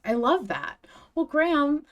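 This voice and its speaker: A woman's voice: high-pitched, very animated, a little slow, lo-fi sound. Woman hosting a casual discussion podcast. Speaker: podcast host.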